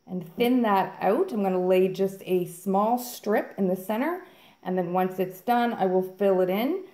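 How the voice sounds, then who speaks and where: A woman's voice: mid-range voice, dark tone, reverberant. A woman hosting a fluid art channel, chatting casually and upbeat to viewers while she mixes paint. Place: home art studio, indoors.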